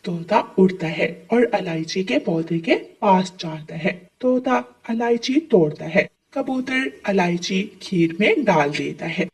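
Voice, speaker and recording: A woman's voice: medium pitch, expressive, measured pace, few pauses, husky, far from the mic. A woman giving scripted narration for a children's story.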